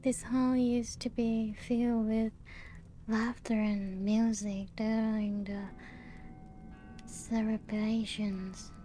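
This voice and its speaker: A woman playing a genteel, serene, playful ancient vampire in a soft-spoken ASMR roleplay, with a breathy, often half-whispered voice and gentle lilt. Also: fairly high pitch, level tone. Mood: nostalgic, gentle. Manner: slow, unhurried, gently lilting storytelling. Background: music.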